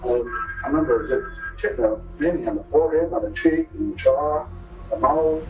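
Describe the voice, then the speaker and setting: A man giving halting, rambling, unscripted answers as a convict in a police interview, on an archival tape. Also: on the high side, soft background music. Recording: echoey, distant, muffled archival interview tape.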